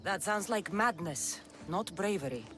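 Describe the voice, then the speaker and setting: A woman's voice: medium pitch, lively intonation, unhurried, over music, natural voice. A woman playing a wary, determined young Greek mercenary in a video game cutscene, direct and skeptical in her questions. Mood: skeptical, probing.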